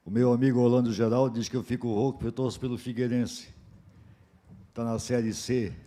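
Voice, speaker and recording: A hoarse man from southern Brazil opening a conference as moderator, relaxed and joking at his own expense. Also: mid-range voice. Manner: relaxed, joking, self-deprecating.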